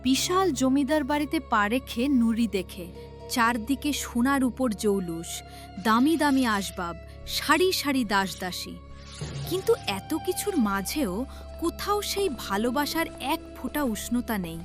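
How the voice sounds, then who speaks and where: A woman delivering scripted, dramatic narration for an animated story as its storyteller. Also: sits high, moderate pace. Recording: music behind the voice.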